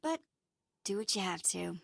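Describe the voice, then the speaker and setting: An actress playing a sassy, wary young alien companion in a video game, sarcastic and indignant, with a creaky edge. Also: female voice, medium pitch, animated, normal speed, fairly bright tone, dry recording, studio quality, heavily acted.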